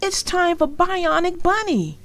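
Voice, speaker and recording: A woman's voice: very high voice, very animated, measured pace, fairly bright tone, pitched above the performer's own voice, exaggerated voice. A woman voicing an anxious young schoolboy in a storybook, an exasperated older brother with an earnest, pleading manner.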